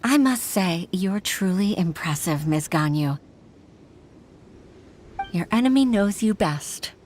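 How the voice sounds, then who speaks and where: A woman's voice: fairly low pitch, animated, takes it slowly, darkish tone, slight background noise. A woman playing an earnest, polite young official in an anime game, effusive in her manner. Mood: admiring. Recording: game music playing behind.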